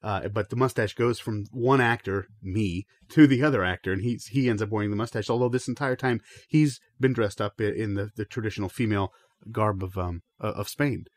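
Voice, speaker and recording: A man playing an earnest, pretentious stage performer in a deadpan improvised interview, hesitant and rambling. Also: on the low side, fairly quick, no echo, close to an everyday voice. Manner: hesitant, rambling, self-serious. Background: guitar music.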